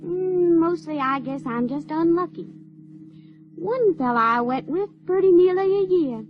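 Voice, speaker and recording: Radio drama role: stubborn backwoods girl of sixteen, Ozarks drawl, high pitch, artless and plain-spoken; muffled recording. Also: expressive, measured pace. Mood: wistful, coy. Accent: Ozarks rural American. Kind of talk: audio drama acting. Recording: dull lo-fi radio recording, faint noise.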